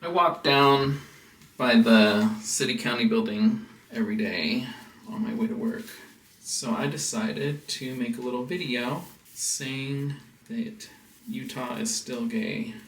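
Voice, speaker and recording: An American man tells a story casually to his viewers, wandering and pausing as he goes. His medium-pitched voice sounds set back from the microphone in a reverberant room. There is a little noise behind him. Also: animated, a bit bright. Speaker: house music producer and DJ hosting a vlog. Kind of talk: casual chat, personal storytelling. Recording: roomy, distant mic with background noise.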